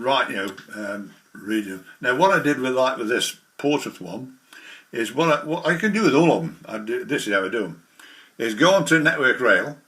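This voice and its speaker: A man giving a casual, rambling, halting software demonstration as a hobbyist. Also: medium pitch. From the English Midlands.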